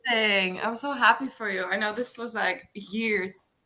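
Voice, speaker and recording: A woman's voice: medium pitch, fairly even intonation, moderate pace, bright, forceful tone. A woman hosting a casual livestream, chatting with friends and reading out viewer comments. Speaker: host of a livestream reading sprint.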